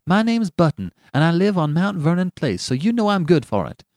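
Storybook boy, indignant and self-important, high piercing treble, barking orders; played by a man. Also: big pitch swings, brisk, a little mellow, no room sound, clean studio sound. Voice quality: shrill treble. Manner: ferocious, commanding.

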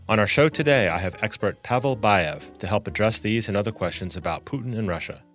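A man hosting a podcast, reading a scripted introduction and asking interview questions in a measured, formal way, recorded in a studio. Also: sits low, a bit bright. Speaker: podcast host. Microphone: studio microphone.

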